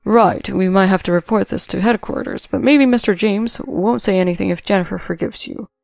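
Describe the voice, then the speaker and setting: A woman's voice: medium pitch, fairly quick, creaky, a little mellow, no room sound, faint music behind, close to an everyday voice. A woman voicing a weaselly, defensive male store manager with a blustering, evasive manner in a story. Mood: pressuring.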